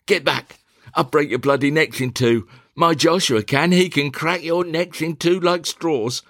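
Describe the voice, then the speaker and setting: A man voicing a fussy, fretful middle-aged mother in a one-man reading of a stage play, shrill and prone to outbursts. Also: lively intonation, slow pace, a bit bright, no room sound, studio quality. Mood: agitated.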